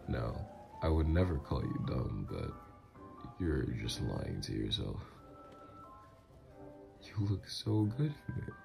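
Man playing a very deep-voiced, doting mafia lover. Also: wildly swooping pitch, slow pace, creaky, pauses often, heavily acted. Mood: flirty, teasing. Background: music.

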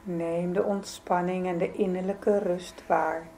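A woman leading a guided yoga nidra meditation in a soothing, gentle, unhurried manner. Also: fairly low pitch, little pitch movement, a little hiss or hum. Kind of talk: guided meditation, calm spoken instruction.

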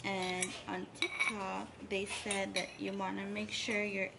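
Woman, 30s, home vlogger, unhurried friendly chat, noisy home recording. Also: mid-range voice, gravelly. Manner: chatty, upbeat, relaxed. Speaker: lifestyle vlogger. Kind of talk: casual tutorial vlog. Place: home bedroom and kitchen.

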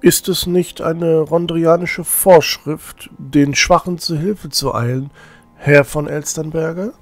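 Man acting a slow, timid young cleric. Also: on the high side, steady tone, no echo, soft background music. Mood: pointed. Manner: hesitant, stammering. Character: timid, fretful young cleric of a war goddess. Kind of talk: fantasy audio drama acting.

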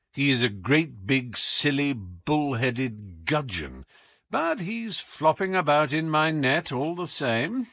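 A man's voice: mid-range voice, pushed and bright, no echo, clean studio sound, full character voice. A man reading an audiobook as a whimsical, imperious detective with a British accent, theatrical, commanding and wry. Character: brilliant, whimsical, imperious male English detective.

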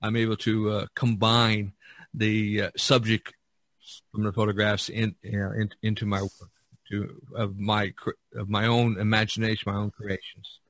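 Bright, deep-toned man explaining haltingly. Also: little pitch movement, no room sound. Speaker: studio painter being interviewed in a role-play. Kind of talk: casual unscripted podcast conversation.

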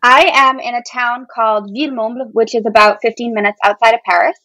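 A woman's voice: fairly high pitch, fairly even intonation, brisk, sharp tone, no room sound, rough recording. An American woman in her thirties, a podcast guest telling personal stories in an enthusiastic, informal way. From Boston area, United States. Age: 30s. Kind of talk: casual personal storytelling.

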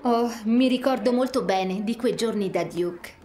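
A woman playing a kind, hardworking young restaurateur in an animated video game, sincere and composed. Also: fairly high pitch, measured pace, natural voice.

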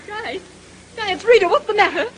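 High-strung, high-voiced actress. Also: female voice, expressive, a little fast, fairly bright tone. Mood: distraught. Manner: emotional, dramatic, insistent. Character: high-strung, defensive actress with a troubled past. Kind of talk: 1930s radio drama acting. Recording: noisy vintage 1930s radio recording.

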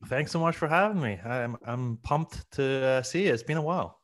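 A man's voice: medium pitch, normal speed, a bit bright. A man in his thirties with a Glaswegian Scottish accent tells a rambling, self-mocking story. He slips into his past self's voice for the punchlines. He speaks close into a studio mic in a small room. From Scotland. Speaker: guest comedian. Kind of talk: casual storytelling interview. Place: small room at home, video call. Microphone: studio mic on boom arm.

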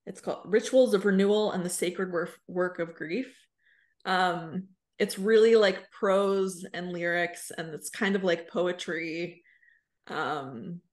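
A woman in her thirties co-hosting a podcast in casual, informal chat. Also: lowish voice. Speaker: podcast co-host. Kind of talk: casual chat about books. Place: small room on a video call.

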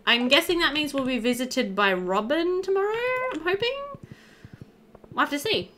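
A woman in her thirties giving casual, chatty let's-play commentary, animated and thinking aloud. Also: high-pitched, normal speed, slight background noise. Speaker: gaming video host. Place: indoor room.

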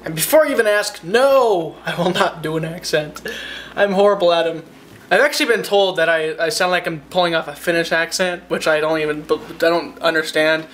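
A young man from Alaska, vlogging casually to a handheld camera in his living room, excitable and rambling. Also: a little high, slight background noise. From Alaska, United States. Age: young adult. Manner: excitable, rambling, quick asides. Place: living room of a house, indoors. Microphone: handheld camera at arm's length.

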